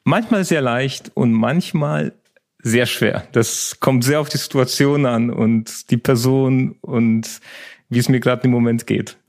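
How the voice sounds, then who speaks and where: Gravelly-voiced man, a native Polish speaker. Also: mid-range voice, unhurried. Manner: relaxed, chatty storytelling. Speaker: lawyer and app founder, guest. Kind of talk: interview answers.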